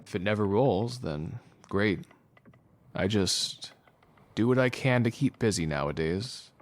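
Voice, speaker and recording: A man plays a world-weary doctor in an audio drama. His voice sits fairly low and his delivery is dry and understated, with a wry, bitter edge toward an old friend. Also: lively intonation, unhurried. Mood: resigned.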